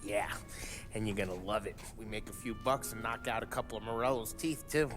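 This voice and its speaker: An actor playing a brash, excitable American gangster henchman in a game cutscene, talking in cocky street slang. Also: on the low side, a little fast, natural voice. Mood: cocky, eager. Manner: slangy, cocky, animated street-tough talk. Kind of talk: video game cutscene acting. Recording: music behind the voice.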